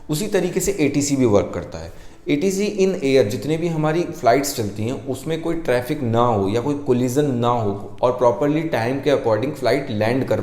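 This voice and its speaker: A man's voice: medium pitch, mellow. A man presenting an informal explanatory lesson, mixing English technical terms into his speech. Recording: echoey, roomy sound.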